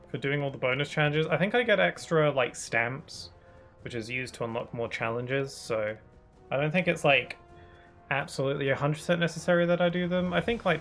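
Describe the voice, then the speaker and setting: A man streaming a game, chatting casually and thinking aloud, a bit sniffly from hay fever, with bursts of excitement. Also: on the high side, gravelly. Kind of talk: casual gaming commentary.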